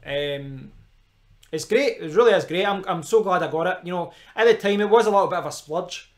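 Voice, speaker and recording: Rambling Glaswegian man, slightly high voice. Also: fairly quick. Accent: Glaswegian Scottish. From Scotland. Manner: rambling, frequent restarts and asides to viewers. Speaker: live streamer. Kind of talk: casual rambling live stream chat. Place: kitchen at home.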